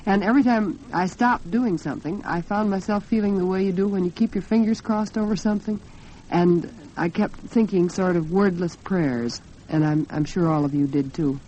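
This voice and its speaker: A woman's voice: lowish voice, normal speed, warm tone. American woman hosting vintage radio, muffled and noisy. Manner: conversational, addresses listeners directly. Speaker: radio program host. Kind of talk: 1940s radio women's talk program. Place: radio studio. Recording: muffled, noisy 1940s radio broadcast recording.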